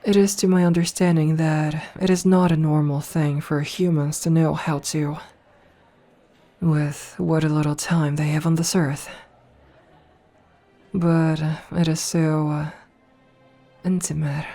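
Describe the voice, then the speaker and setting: Woman as a low-voiced vampire princess: slow, breathy, condescending ASMR seduction. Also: flat delivery, faint music behind. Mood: seductive. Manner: teasing, condescending, softly purring. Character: haughty seductive noble female vampire princess. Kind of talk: ASMR audio roleplay.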